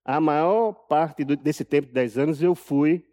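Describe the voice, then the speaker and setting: A man with a Brazilian accent giving a live talk from a prepared text, telling his story deliberately and emphatically, repeating phrases for effect. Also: on the high side, warm tone. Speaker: journalist and author speaking at an event.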